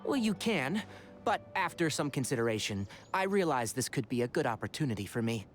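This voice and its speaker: A young scholar from a video game, mid-pitched with very animated intonation. He is sensible, articulate and dryly wry, with music playing underneath. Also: a little fast. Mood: composed, explanatory. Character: young male scholar, composed, dry, sensible.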